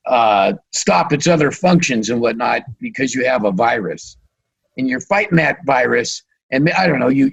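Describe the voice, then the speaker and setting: A man hosting a radio interview, halting as he searches for words, with a voice that is starting to give out. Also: mid-range voice, gravelly, a bit bright, husky. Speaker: radio show host.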